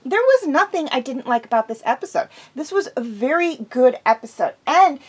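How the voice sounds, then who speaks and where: A woman's voice: high voice, animated, normal speed, slightly rough. A woman host chatting casually and enthusiastically through an episode review, slightly congested from a cold.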